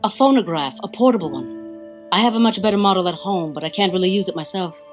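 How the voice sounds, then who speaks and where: Immortal devoted female lover, played by an actress, mid pitch, gentle, formal, coaxing; audio drama, muffled over soft music. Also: fast, natural voice. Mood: tender, courting.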